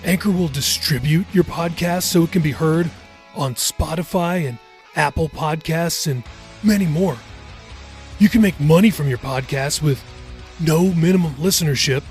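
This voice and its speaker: A man's voice: on the high side, darkish tone. A man hosting a podcast, giving a chatty, informal, upbeat promo read. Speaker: podcast host.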